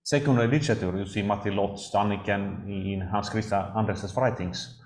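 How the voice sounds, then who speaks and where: A Swedish man giving an academic talk, speaking haltingly with frequent pauses and restarts. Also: fairly low pitch, a little hiss or hum. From Sweden. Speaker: lecturer. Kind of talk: academic discussion. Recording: roomy echo.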